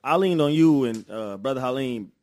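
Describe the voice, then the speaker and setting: A man's voice: medium pitch, animated, moderate pace, a little mellow, dry recording. A man hosting a podcast in a studio, talking casually with heavy street slang and lots of emphatic agreement. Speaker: podcast host.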